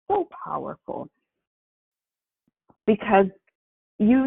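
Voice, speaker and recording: A woman hosting a call-in prayer line, effusive and quick to exclaim praise. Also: mid-range voice, creaky, husky, no room sound. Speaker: host and Bible teacher. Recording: tinny phone line.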